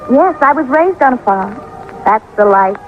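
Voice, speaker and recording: Coy, high-voiced city girl, old radio. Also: animated, normal speed. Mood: wistful. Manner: soft-sell, coy, wistful. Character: sweet-talking, scheming young city woman flattering a suitor. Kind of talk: old-time radio drama acting. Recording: muffled vintage radio recording, faint music.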